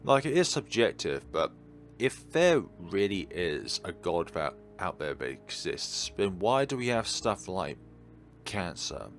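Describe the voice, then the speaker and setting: A man's voice: deep voice, big pitch swings, measured pace, faint music behind. A man giving casual, rambling and earnest commentary while playing a visual novel. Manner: rambling, earnest philosophical musing. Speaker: video game let's player.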